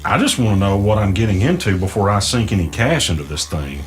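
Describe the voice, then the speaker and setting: A low male voice performed by an actor plays a cautious small-town man in an audio drama. He is plainspoken and skeptical, conversational and slightly hesitant. Music plays faintly behind him. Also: big pitch swings, fast. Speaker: character in a mystery audio drama.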